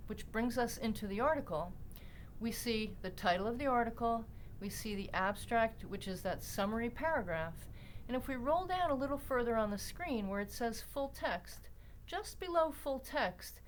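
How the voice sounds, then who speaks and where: A woman narrating an instructional screencast in a deliberate, explanatory way with emphatic pauses. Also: fairly high pitch, expressive, slight background noise, low-quality recording. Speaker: library tutorial presenter.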